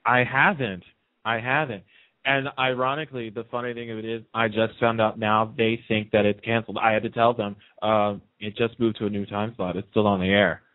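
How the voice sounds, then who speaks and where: A man's voice: on the low side, level tone, brisk, no echo. A man chatting casually as a guest in a radio interview, telling stories in a talkative way. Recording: narrow, tinny phone-line sound.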